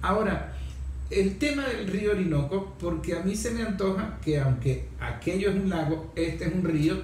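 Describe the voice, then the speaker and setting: A man's voice: high voice, animated, moderate pace, a little mellow. A Venezuelan man moderating a panel discussion, opinionated and fond of rhetorical questions. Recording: very echoey room, faint noise.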